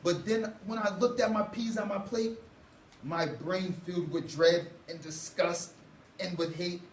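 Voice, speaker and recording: A young man reading a children's story aloud to a class with expressive, dramatic phrasing, as a student teacher. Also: high voice, unhurried. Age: young adult. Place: indoor school classroom. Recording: roomy, distant mic, faint noise.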